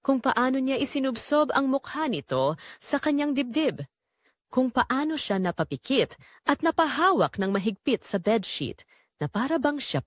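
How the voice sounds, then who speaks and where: A betrayed middle-aged wife and mother, acted by a woman, narrates her own story for an audio drama. Her pitch moves widely through short, deliberate phrases, solemn and quietly bitter. The sound is dry, with very little room in it. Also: no echo.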